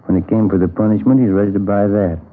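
An American actor playing a plain-spoken, musing police detective in a 1950s radio crime drama, in a conversational manner. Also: male voice, lowish voice, steady tone, fast talker, lightly acted. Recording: muffled, narrow-band vintage radio recording.

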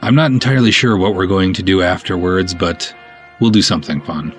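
A man's voice: low-pitched, a little fast, soft background music. A man hosting a podcast, talking casually to his listeners as in a sign-off. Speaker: podcast host.

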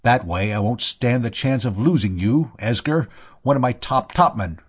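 A man's voice: mid-range voice, animated, normal speed, slightly rough. A man voicing an exasperated ship captain, commanding and indignant, in an audiobook. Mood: indignant protest. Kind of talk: audiobook character voice.

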